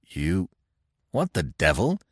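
A man playing a fierce, proud barbarian warrior chief in an audiobook adventure, gruff, growling and sardonic. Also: low voice, huge pitch swings, slow pace, no echo, clean studio sound, exaggerated voice. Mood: grim.

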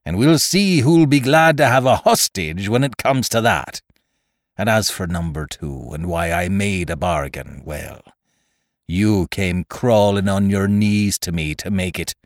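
A man voicing a cunning, domineering pirate sea-cook in an audiobook, with rough sailor dialect and a forceful, taunting manner. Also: low-pitched, unhurried, no echo, clean studio sound. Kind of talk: audiobook character voice.

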